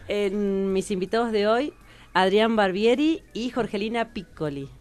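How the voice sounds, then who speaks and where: A woman's voice: mid-range voice, a little slow, a little mellow. A woman with a Rioplatense Argentine accent, hosting a radio column on a casual footing and putting questions to guests. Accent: Rioplatense Argentine Spanish. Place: radio studio table.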